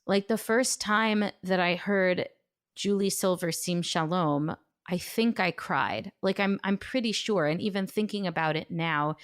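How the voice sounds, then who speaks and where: A woman's voice: mid-range voice, a bit bright. A woman hosting a podcast in a conversational style. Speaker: podcast host.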